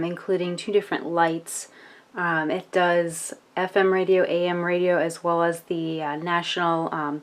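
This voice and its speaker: An American woman with a fairly low voice talks through a product demonstration. She explains features step by step, informally and with some hesitation, like a casual reviewer.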